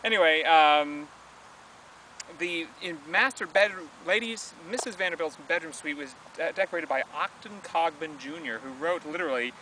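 A man's voice: fairly high pitch, moderate pace, noisy background. A young man co-hosting a travel vlog, chatting casually and jokily outdoors on a lawn, recorded on a camera several meters away. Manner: jokey, chatty, trails off with ums. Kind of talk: casual on-location chat. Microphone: camera mic from a few meters away. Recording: distant outdoor camera audio.

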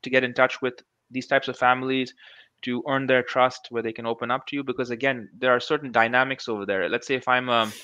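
A man's voice: mid-range voice, steady tone, fast, pushed and bright, smooth, no echo, muffled recording. A man in his thirties, a guest researcher on a video call interview, speaking thoughtfully with many restarts and fillers. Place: small room at home. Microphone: headset.

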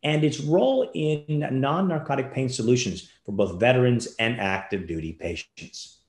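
Man, mid-pitched, formal introduction, announcer, roomy echoing recording. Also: expressive, moderate pace.

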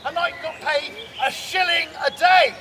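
A man runs a longbow show on an open field, barking commands and joking with onlookers. His voice sits very high for a man. A camera catches him from a distance, with heavy outdoor noise around him. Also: steady tone, normal speed, a crowd in the background. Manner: loud commanding drill calls, teasing the audience. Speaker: archery display presenter. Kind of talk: outdoor demonstration to a crowd. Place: open field at a medieval fair. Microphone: far camera, no mic.

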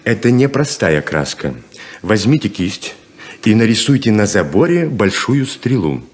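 An actor playing a grandiose, persuasive conman rainmaker in a 1970s Soviet radio play, swinging from hushed to exclaiming. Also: on the low side, big pitch swings, unhurried. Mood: commanding. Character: smooth-talking, theatrical conman rainmaker, commanding and persuasive.

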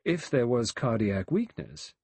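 A man's voice: medium pitch, sing-song, unhurried, a little mellow, husky, no echo, heavily acted. A man voicing a cautious, clinical male doctor in an audiobook, dry, clipped and formal. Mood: dry, doubtful.

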